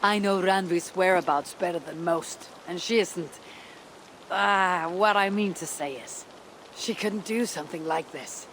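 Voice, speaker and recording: A woman's voice: fairly low pitch, unhurried, a bit bright, a little hiss or hum, poor audio. An actress playing a stoic, plain-spoken female Viking warrior in a video game, guarded and resolute. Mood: defensive. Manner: guarded, resolute, matter-of-fact. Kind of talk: dramatic video game acting. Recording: faint rainy background ambience.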